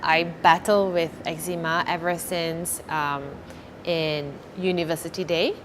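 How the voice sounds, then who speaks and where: A woman in her thirties giving a personal testimony in a halting, reflective way. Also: low voice, steady tone, noisy background. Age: 30s. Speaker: interviewee, eczema sufferer. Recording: music over the voice. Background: background music.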